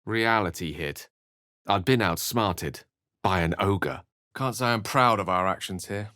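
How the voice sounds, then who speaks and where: An actor playing a learned, courteous and wry wizard with a touch of melancholy, speaking in articulate, theatrical phrases for a video game. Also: male voice, lowish voice, measured pace, bright, forceful tone, dry recording, studio quality. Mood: reflective. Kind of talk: video game character lines and narration.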